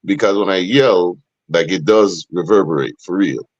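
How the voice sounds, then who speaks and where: A middle-aged man with a deep, booming voice, hosting a podcast and making emphatic, animated points. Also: moderate pace, husky, no room sound, highs cut off. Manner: animated, emphatic, rising to sharp questions. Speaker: podcast host. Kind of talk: casual podcast discussion.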